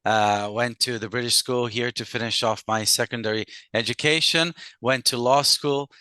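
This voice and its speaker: Crisp bright-voiced man, slight Scottish lilt. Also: mid-range voice, fairly even intonation, dry recording. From Brazil. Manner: measured, with frequent "uh" pauses. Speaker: lawyer, guest. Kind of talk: casual interview talk.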